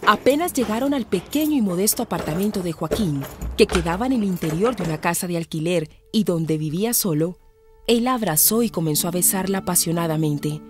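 A woman's voice: on the low side, animated, a little fast, over music. A woman with a Colombian accent narrating a scripted radio drama.

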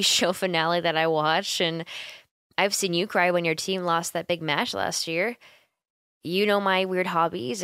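A woman's voice: mid-range voice, dry recording. A caring young woman roommate, acted by a woman in an ASMR roleplay, recorded close and dry. Her intonation swings freely as she coaxes and teases her friend, hesitant at times and playful. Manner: playful, gently coaxing, giggly, hesitant. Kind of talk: ASMR roleplay, intimate one-sided conversation.

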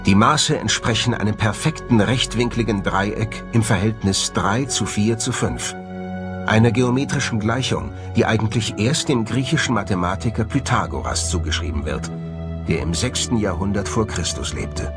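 A man delivering scripted narration for a documentary. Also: lowish voice. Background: background music.

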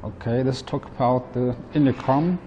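Mellow-voiced man, non-native English, demonstrating. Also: medium pitch, highs cut off, soft background music. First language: not English. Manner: plain, halting, step-by-step explaining. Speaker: product presenter. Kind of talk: product demonstration. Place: indoor office at a table.

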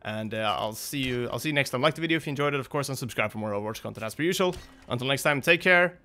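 Young guy doing casual game commentary, bright voice, kinda rambling and hesitant. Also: medium pitch, racing. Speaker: gaming video host.

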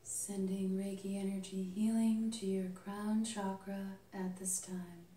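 A young adult woman leading an ASMR energy healing session, soft-spoken and soothing, sometimes dropping into a whisper. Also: medium pitch, little pitch movement, takes it slowly. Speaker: Reiki healer and channel host. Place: small dim indoor treatment room. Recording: roomy, slightly noisy home recording.

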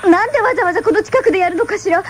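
An actress playing a gentle, supportive wife and mother among space settlers in a radio drama. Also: very high-pitched, very fast, slight background noise. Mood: worried.

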